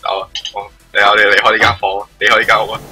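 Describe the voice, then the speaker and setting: A man chatting casually as he co-streams a game, joking and swearing, his voice husky. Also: on the low side, flat delivery, quick pace, bright, forceful tone, faint music behind, rough recording. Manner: joking, profane asides. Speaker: co-streamer voicing characters. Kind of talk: casual chat during a live game playthrough.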